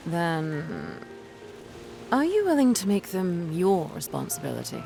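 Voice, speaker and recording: Woman acting a commanding, measured sea captain. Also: fairly low pitch, wildly swooping pitch, gravelly, music in the background, exaggerated voice. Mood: stern. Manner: measured, authoritative. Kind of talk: audio drama acting.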